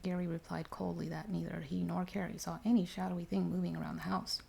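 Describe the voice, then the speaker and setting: A woman with a lowish, creaky voice reads a story aloud for ASMR. Her delivery is soft and slips into whispering, with a narrow melodic range. A faint background noise sits under the voice. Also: flat delivery, fast talker. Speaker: reader of paranormal stories for ASMR. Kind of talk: ASMR reading aloud of a narrative book.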